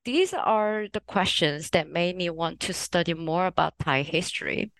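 A woman's voice: on the low side, a little slow, a bit bright, no echo. A woman in her forties from Korea, a native Korean speaker, telling her story thoughtfully as a guest author with light laughs. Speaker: guest author and research professor. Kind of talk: podcast interview.

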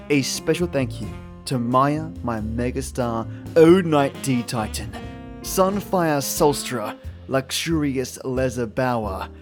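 Theatrical male podcast host over guitar music. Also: mid-range voice. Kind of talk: scripted podcast introduction. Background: plucked guitar music.

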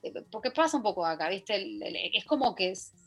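A woman's voice: medium pitch, animated, fairly quick, fairly bright tone, soft background music. Argentine woman, chatty radio guest. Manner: chatty, many fillers and restarts. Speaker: guest, musical theatre actress. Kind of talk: casual radio interview. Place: radio studio.

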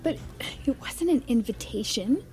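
A woman's voice: a little high, lively intonation, a little slow, dark tone, soft background music. An actress playing a chatty, candid wife who confides in her girlfriends, expressively, in an audio drama. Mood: confiding, exasperated. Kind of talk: naturalistic audio drama dialogue.

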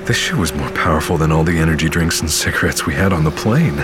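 A man's voice: very deep voice, lively intonation, fast talker, background noise. An actor playing a weary male crash survivor, narrating his story in a first-person audio drama. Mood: somber, reflective. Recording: loud boat motor and water behind. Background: boat engine and sea ambience.

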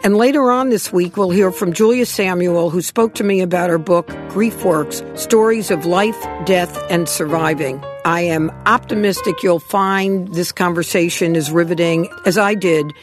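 A woman's voice: on the low side, normal speed, hardly any pauses, soft background music. A woman hosting an interview podcast, presenting and asking thoughtful questions.

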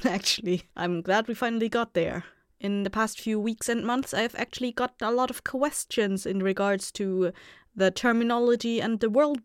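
Woman, podcast creator-host, casual chat, playful, smooth clear voice, very dry recording. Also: mid-range voice, dry recording.

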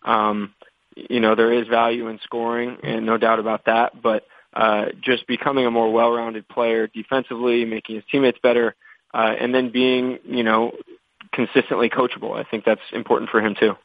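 A thin, phone-band voice with almost no room around it. An American man gives measured, conversational sports analysis on a conference call, at a middling pitch. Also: flat delivery, no echo. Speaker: basketball draft analyst. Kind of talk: media conference call question-and-answer. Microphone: telephone. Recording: tinny, dry phone conference line.

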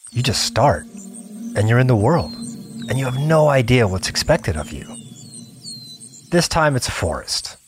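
A man playing an earnest, obsessive gamer in an audio drama, confiding his play session in short, broken-up phrases. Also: fairly low pitch. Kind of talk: first-person scripted audio drama narration.

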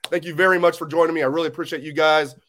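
A man's voice: a little high, racing. Scratchy-voiced man in casual sports talk. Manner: animated, opinionated, rambling fan talk. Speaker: sports show host.

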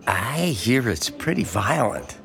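An actor playing a lonely, withdrawn small-town man in an audio drama, halting, subdued and gloomy. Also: medium pitch, very animated, vocal fry, a crowd in the background, exaggerated voice. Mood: reluctant, gloomy. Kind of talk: naturalistic audio drama dialogue.

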